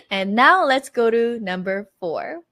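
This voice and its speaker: A woman in her thirties leads an online language masterclass, her voice sitting a little high and swinging widely in pitch. She talks in an animated, encouraging way and acts out her own past words. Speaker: Korean language teacher.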